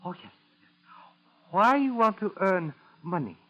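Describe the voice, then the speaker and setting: Sly, high-voiced Japanese chauffeur. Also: male voice, big pitch swings, bright, forceful tone, exaggerated voice. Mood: suspicious. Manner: broken English, halting, probing questions. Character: suspicious, sly Japanese chauffeur, falsely humble. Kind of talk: 1940s radio acting. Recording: dull 1940s radio recording.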